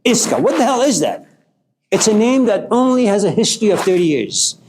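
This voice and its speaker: A man's voice: high voice, very animated, a little fast, vocal fry, a little mellow, a little hiss or hum. A middle-aged man from Iran gives an informal philosophy lecture, passionate and emphatic, swearing at times.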